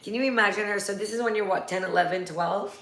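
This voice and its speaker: Chatty woman host in an echoey room. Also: mid-range voice. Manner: chatty, animated, quick to laugh. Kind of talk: casual livestream interview.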